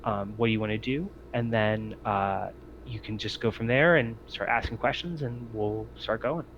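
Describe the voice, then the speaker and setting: A man's voice: lowish voice, moderate pace, fairly bright tone. A man narrating a tabletop roleplaying game as its game master. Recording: muffled lo-fi mic with clear noise.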